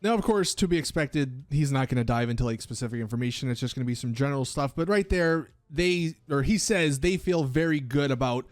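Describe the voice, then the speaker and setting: A man's voice: mid-range voice, expressive, fairly quick, few pauses, dry recording. A man presenting gaming news commentary as a video host, explaining things in an emphatic, casual way. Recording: background music under dry voice. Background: music.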